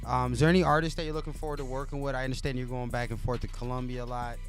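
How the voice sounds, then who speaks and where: A man hosts a laid-back interview, keeping it upbeat and slangy. His voice sits at an ordinary middle pitch, with faint music running underneath. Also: little pitch movement, racing. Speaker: host. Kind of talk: casual interview hosting.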